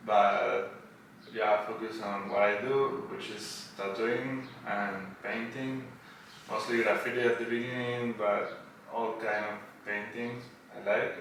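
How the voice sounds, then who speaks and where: Echoey, slow-talking Argentine man. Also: fairly low pitch, little pitch movement, a bit bright. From Buenos Aires, Argentina. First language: Spanish. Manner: hesitant phrasing in non-native English. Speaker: podcast guest, tattoo artist. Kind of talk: casual interview chat. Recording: roomy echo, distant mic, faint noise.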